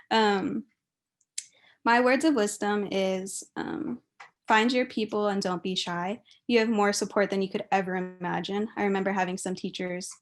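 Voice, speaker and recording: A young adult woman from Hawaii, giving an informal, chatty and giggly speech as a guest speaker. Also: medium pitch. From Kauai, Hawaii.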